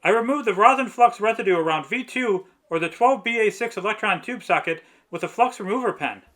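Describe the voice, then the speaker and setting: A man's voice: high voice, expressive, fairly quick, a bit bright. A man narrating a scripted step-by-step restoration tutorial. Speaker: narrator, vintage radio restorer.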